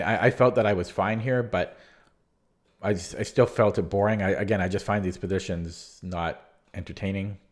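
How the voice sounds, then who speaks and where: A man, losing his voice, hesitantly and candidly talking through a chess game he played, reading from his notes. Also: low voice. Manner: hesitant, many restarts, relaxed and candid. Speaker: chess channel host reviewing his own game. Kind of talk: casual game analysis from notes.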